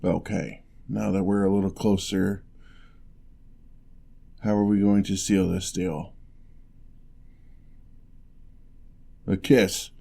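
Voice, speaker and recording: A man playing a lonely, gentle male giant in a fantasy ASMR roleplay, speaking in a measured, wry, slightly formal way. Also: low voice, level tone, vocal fry, heavily acted. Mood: softly companionable.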